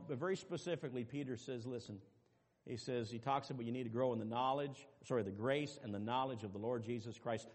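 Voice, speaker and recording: A man preaching a sermon as pastor, dropping to quiet asides and rising to loud, emphatic calls to the congregation. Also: mid-range voice. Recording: long reverb with faint music behind.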